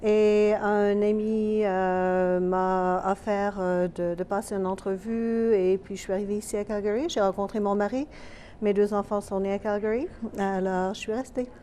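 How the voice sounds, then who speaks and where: A woman with a Quebec French accent in a casual TV interview, explaining in a measured way with fillers. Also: mid-range voice, steady tone, dark tone, a little hiss or hum. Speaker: school programs coordinator.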